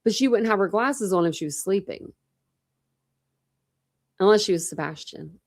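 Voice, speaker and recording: Gravelly woman with a slight lisp. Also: mid-range voice, moderate pace. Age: 40s. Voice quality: slight lisp from a dental retainer. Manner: rambling, thinks aloud, many restarts. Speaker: true crime livestream host. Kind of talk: casual livestream chat with viewers.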